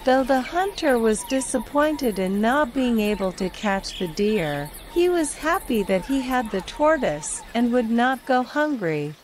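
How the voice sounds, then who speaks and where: A woman's voice: on the high side, big pitch swings, measured pace, few pauses. Woman, storyteller, scripted children's tale, noisy backing of crickets and birdsong.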